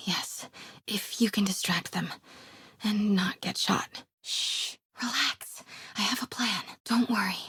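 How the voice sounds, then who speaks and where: An actress playing a brave, quick-witted young woman reporter in a video game, with an American accent, a breathy, husky voice and a wry, conversational, often hushed delivery. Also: fairly high pitch, dry recording, clean studio sound, heavily acted. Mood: hushed, urgent. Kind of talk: naturalistic video game voice acting.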